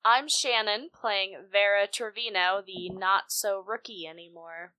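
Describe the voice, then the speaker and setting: A woman's voice: mid-range voice, unhurried, sharp tone, no echo, rough recording. A woman taking part as a player in a tabletop game, chatting casually. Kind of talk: casual chat.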